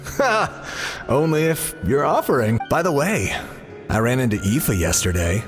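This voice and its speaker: Hearty, animated neighbourly uncle. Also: male voice, medium pitch, measured pace. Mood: jovial. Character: jovial, chatty, neighbourly uncle, easygoing. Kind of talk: video game voice acting. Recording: long reverb, faint music.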